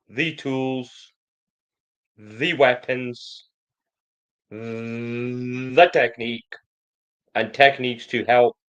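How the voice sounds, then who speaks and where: A man speaks at a very slow, measured pace, placing each phrase carefully and now and then catching on a sound in a stammer block. His voice sits at an ordinary mid pitch. The audio is dull and lo-fi. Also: clear, resonant tone. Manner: slow, deliberate controlled speech with occasional stammer blocks. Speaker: programme member giving a testimonial. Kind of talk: prepared personal testimony.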